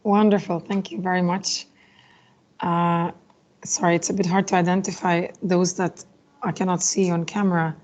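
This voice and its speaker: A woman's voice: lowish voice, little pitch movement, moderate pace, darkish tone. A woman moderating an online meeting, politely calling on participants and apologizing for technical trouble. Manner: polite, apologetic, brief laughs. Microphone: video call.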